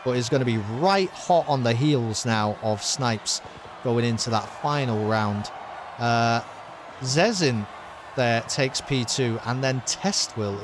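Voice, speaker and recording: A man commentating a sim race and signing off his stream, excitable and rambling, with his voice wearing thin after a long session. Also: mid-range voice, background noise. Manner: excited, rambling, with many uh fillers. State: losing his voice.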